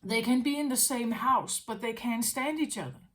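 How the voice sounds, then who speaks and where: A woman's voice: a little high, moderate pace, a bit bright. A woman talking as a tarot reader in an unscripted spiritual monologue, musing aloud, repeating her phrases and often checking in with "right?".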